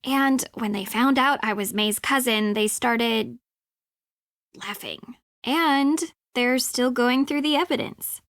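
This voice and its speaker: A woman's voice: fairly high pitch, slow pace, a bit bright, dry recording, clean studio sound. A woman voicing a sceptical, wisecracking young woman in a video game, with a casual, sarcastic delivery. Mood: exasperated, casual.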